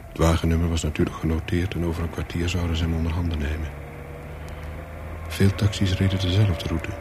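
An actor playing a wary, hunted courier, telling his story in a radio thriller in short, clipped, deliberate sentences. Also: deep voice, level tone, noisy background, natural voice. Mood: wary, brooding. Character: hunted male courier of smuggled microfilms, wary, coolly calculating. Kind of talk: first-person thriller narration in a radio play.

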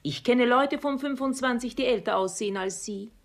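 An actress playing a sly, worldly matchmaker in a radio play, coaxing and self-assured. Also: female voice, on the high side, normal speed, close to an everyday voice. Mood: flattering. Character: sly, flattering, worldly female matchmaker and schemer. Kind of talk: German radio play stage acting.

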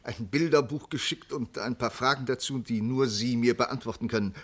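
An actor playing a polite, hesitant Spanish police commissioner with a husky voice, in a 1950s German radio crime drama. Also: male voice, medium pitch, normal speed, fairly bright tone. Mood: polite, probing. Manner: hesitant, apologetic, roundabout questioning.

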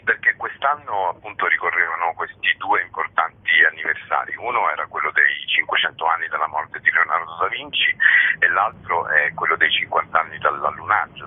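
A man with an extremely low, husky voice speaks in an informal interview. He explains things expansively, often building lists. The recording is muffled and lo-fi, like radio or phone audio. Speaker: festival co-director being interviewed.

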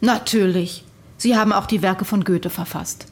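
Radio play: doubting female interviewer, played by a woman, mid pitch, slightly husky, plainly probing. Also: steady tone, normal speed, lightly acted. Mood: sceptical. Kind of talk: radio drama acting.

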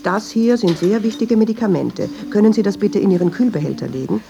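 Actress as creaky-voiced, brooding, introspective woman. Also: mid-range voice, natural voice. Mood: earnest, conversational. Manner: introspective, deliberate. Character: brooding, persistent, troubled woman who argues with herself. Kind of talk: radio drama monologue and dialogue. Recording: dull, hissy radio drama recording.

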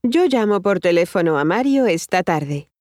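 A woman acting a friendly part in a scripted language lesson, modeling each phrase with clear enunciation. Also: lowish voice, sing-song, moderate pace, a little mellow, no echo, studio quality. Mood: friendly, expressive. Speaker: model speaker in a language course.

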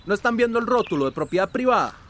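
Fast, high-pitched, scolding site guard played by a man. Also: big pitch swings, fairly bright tone, a little hiss or hum. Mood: alarmed, scolding. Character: gruff, agitated male guard of a dam construction site. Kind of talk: radio drama acting.

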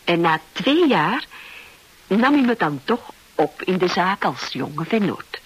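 A young businesswoman in an old radio drama, self-possessed and gently teasing. Her voice has a husky grain and moves through lively, varied intonation. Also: lowish voice, slow, exaggerated voice. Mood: self-assured. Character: Hilda, confident young businesswoman falling in love. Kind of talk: Dutch-language radio drama acting. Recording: old radio play recording.

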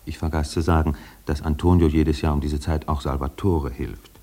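An actor playing a matter-of-fact storyteller, narrating a radio play in a composed and wry manner. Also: male voice, very deep voice, steady tone, moderate pace, mellow.

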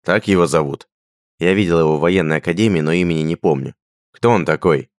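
A man voicing a gruff, brooding, bear-like hunter who speaks in curt, growling lines. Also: sits low, brisk, no echo, clean studio sound, natural voice. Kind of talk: audiobook character voice.

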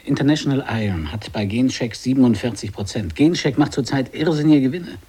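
A man's voice: medium pitch, lively intonation, a little fast, dark tone, breathy edge. A man acting a suspicious, restless gag writer in a German radio drama, speaking in terse, questioning phrases. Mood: urgent, hushed. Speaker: actor.

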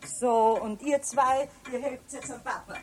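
A woman playing a farmer's wife in a radio play, brisk, bustling and caring. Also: sits high, steady tone, a little mellow, soft background music.

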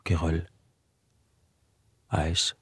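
An actor playing an obsessive recluse in a radio drama monologue, brooding and halting, with a husky, breathy voice that is often close to a whisper. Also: male voice, extremely low pitch, monotone, no echo, full character voice. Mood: hushed, intent. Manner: halting, brooding, insistent repetition. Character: obsessive male recluse tormented by his acute hearing.

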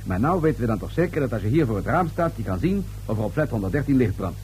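An actor playing a dryly suggestive police inspector in a radio play, with measured, insinuating questioning. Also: male voice, medium pitch, very animated, a little mellow, faint music behind, close to an everyday voice. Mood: pressing.

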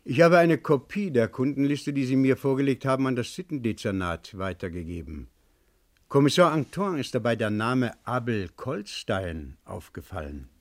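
An actor playing a formal, probing examining magistrate who speaks briskly in a German radio crime play. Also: male voice, mid-range voice, expressive, natural voice.

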